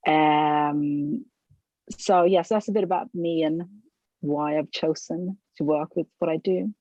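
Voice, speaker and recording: A middle-aged woman from Sweden, a potter answering interview questions thoughtfully, with frequent pauses, fillers and restarts. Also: sits low, steady tone, dark tone, no room sound, lo-fi sound. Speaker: ceramic artist, interviewee.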